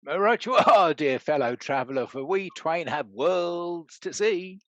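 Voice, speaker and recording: A man's voice: fairly high pitch, lively intonation, unhurried, fairly bright tone, dry recording, muffled recording. A man playing a good-natured, bumbling country knight in a period comedy, stammering and blustering along. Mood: hearty. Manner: hesitant stammering, rustic bluster. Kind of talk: scripted stage comedy acting.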